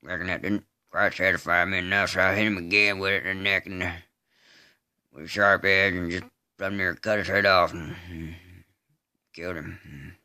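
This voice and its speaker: A man performing a film-style monologue as a slow, simple-minded rural killer, unrepentant, in a halting deadpan Southern US drawl with grunted hums. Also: low-pitched, smooth, no echo, studio quality, exaggerated voice. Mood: flat, matter-of-fact. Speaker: amateur voice artist. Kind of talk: dramatic monologue re-enactment of a film scene.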